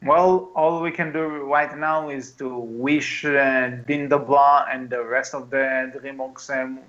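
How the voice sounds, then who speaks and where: A man's voice: mid-range voice, steady tone, slow, fairly bright tone, highs cut off. A man co-hosting a casual movie podcast, chatting in an exclamatory way. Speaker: podcast host.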